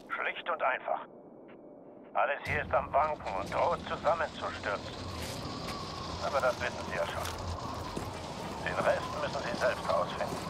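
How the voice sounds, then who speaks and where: An actor playing a calm, commanding renegade colonel in a video game, speaking formally and ominously. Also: male voice, medium pitch, heavy background noise, close to an everyday voice. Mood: calm, sardonic. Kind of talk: dramatic video game acting.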